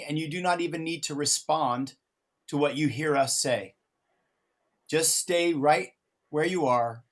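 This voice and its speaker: A man's voice: a little high, fairly bright tone. A man facilitating an interactive improv exercise over a video call, explaining it step by step in an encouraging way. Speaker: workshop facilitator. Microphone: video call microphone.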